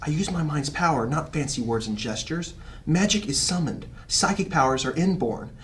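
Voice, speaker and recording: A man's voice: a little high, lively intonation, moderate pace, fairly bright tone, slight background noise. A man playing an earnest young psychic apprentice in a fantasy audio drama. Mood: earnest, insistent. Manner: earnest, courteous, insistent.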